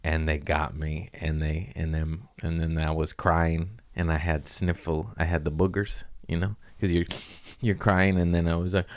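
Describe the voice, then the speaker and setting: A man with a deep American voice, riffing on a comedy podcast. He rambles in loose slang and jokes his way through each thought, casual and unpolished. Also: little pitch movement, normal speed, hardly any pauses, dry recording, studio quality.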